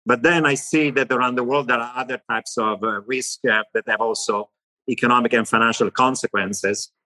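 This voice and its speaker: A middle-aged economist with an Italian accent, answering interview questions in long animated lists. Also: male voice, medium pitch, normal speed, poor audio. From Italy. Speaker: economist guest.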